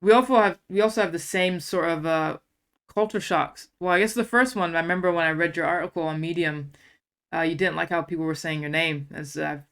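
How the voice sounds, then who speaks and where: A woman's voice: fairly low pitch, fairly quick, a bit bright. A woman hosting a casual chat, retelling moments by acting out what was said. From USA. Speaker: host.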